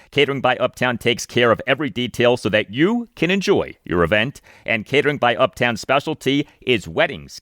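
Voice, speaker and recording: Male podcast host, American accent, mid pitch, dry studio-tight sound, sports interview delivery. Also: a bit bright, no room sound. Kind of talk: sports interview and sponsor read.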